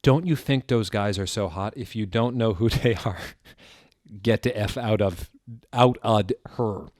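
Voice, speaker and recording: A man's voice: lowish voice, a little slow, darkish tone, no echo, studio quality, natural voice. A man voicing a defensive, petulant teenage girl who writes fan fiction and scolds her readers. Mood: gushing, snappy.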